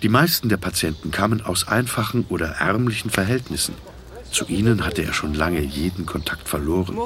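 A man's voice: low voice, a little fast, a crowd in the background, lightly acted. A man narrating a radio drama as a critical observer, in a sober, pointed manner. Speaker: second narrator.

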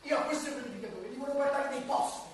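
Actor doing a naive let-down dreamer in a radio comedy, high plaintive voice, loads of echo. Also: rapid-fire, lightly acted. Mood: plaintive, sheepish. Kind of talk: theatrical comic drama acting. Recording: very echoey, distant recording, faint noise.

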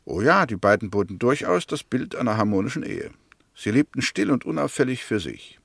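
Creaky-voiced sceptical, precise investigator. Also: male voice, medium pitch, sing-song, fairly quick, a bit bright, no echo, full character voice. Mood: reasoned. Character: Mr. Hämmerte, probing, sceptical Englishman arguing a murder case. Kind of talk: 1960s German radio play, measured narration and debate.

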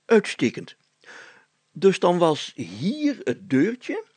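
A man voicing a pompous, excitable village mayor in an audiobook story, declaiming in grand, solemn phrases. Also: high voice, very slow, husky, dry recording, pitched above the performer's own voice, full character voice. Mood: commanding.

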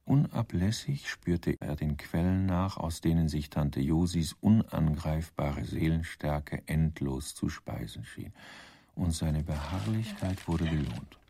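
A man playing a dry, ironic storyteller in a radio drama, measured and deadpan. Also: a bass voice, vocal fry, husky, exaggerated voice. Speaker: narrator. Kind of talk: scripted radio drama narration.

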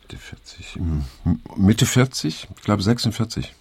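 Weary middle-aged survivor, husky, very low voice, halting and pensive, understated radio drama dialogue. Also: male voice, animated, darkish tone, exaggerated voice. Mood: resigned, somber. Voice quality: gravelly, husky. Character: weary middle-aged male survivor of a hippie circle, reflective.

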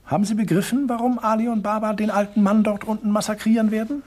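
Ein Schauspieler spielt in einem deutschen Hörspiel einen glatten, sadistischen Schurken, der spöttisch und genüsslich spricht. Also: male voice, sits high, level tone, fast talker, a little mellow. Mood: mocking. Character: suave sadistic villain, middle-aged man, mocking. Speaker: villain Sir Bogomil. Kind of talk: German radio drama acting.

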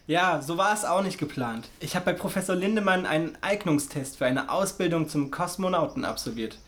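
Casting: man playing an eager schoolboy, fairly high male voice, sincere and plain-spoken, radio play acting. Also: animated, fairly quick, a bit bright. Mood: matter-of-fact. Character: earnest, eager schoolboy son dreaming of space.

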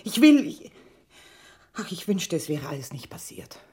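A woman's voice: on the low side, very animated, moderate pace, darkish tone. An actress playing a guilt-ridden, bitter middle-aged mother in a German radio drama, anguished and fearful in manner. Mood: weary, anguished.

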